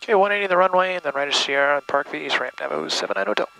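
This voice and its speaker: A man working as a pilot, making brisk radio calls from the cockpit. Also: fairly high pitch, gravelly, noisy background. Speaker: tour plane pilot.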